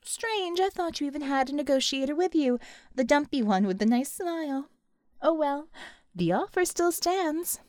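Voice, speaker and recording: A woman's voice: sits high, lively intonation, unhurried, darkish tone, no room sound, clean studio sound. A woman voicing a taunting, threatening female villain in an audiobook. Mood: mocking, sweet menace. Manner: mocking, threatening.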